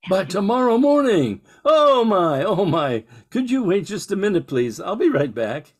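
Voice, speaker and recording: A man's voice: sits high, big pitch swings, a little slow, heavily acted. A man playing a harried, polite small-town bakery owner in an old-time radio drama, earnest and hesitant. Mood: flustered. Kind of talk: 1940s radio drama acting.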